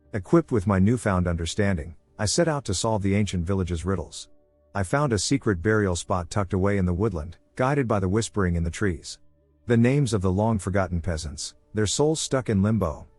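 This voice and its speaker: A man reading scary first-person stories as scripted narration, in a steady, measured way. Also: on the low side, no room sound. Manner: steady, measured storytelling delivery. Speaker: voice actor narrating horror stories.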